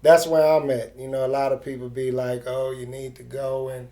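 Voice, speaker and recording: Casting: middle-aged man, mellow mid-pitched voice, informal profane street interviewer. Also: flat delivery, normal speed. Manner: informal, slang-heavy, profane. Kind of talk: casual street interview chat.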